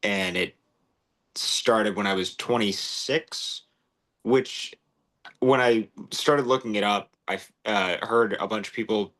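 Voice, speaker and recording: A man in his twenties speaking in casual chat, hesitant and full of pauses. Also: fairly low pitch, fairly bright tone. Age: 20s. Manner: hesitant, many ums and restarts.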